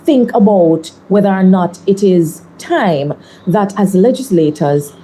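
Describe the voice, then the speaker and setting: Measured Jamaican woman politician. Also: medium pitch, animated, mellow, a little hiss or hum, poor audio. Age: 30s. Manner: measured, deliberate, articulate. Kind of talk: interview answers.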